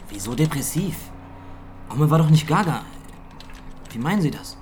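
Actor as nervous young soldier, higher-pitched voice. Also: male voice, expressive, normal speed, a little mellow, a little hiss or hum, lightly acted. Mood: defensive. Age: young adult. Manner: stiff, clipped military report phrasing. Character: nervous young soldier of twenty-one, stiffly clinging to military jargon. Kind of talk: German radio drama acting.

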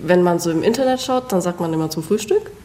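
A woman's voice: fairly low pitch, animated, quick pace, warm tone, a little hiss or hum. A young woman born and raised in Germany, a restaurant owner and cook, giving short, plain answers in an interview and laughing often.

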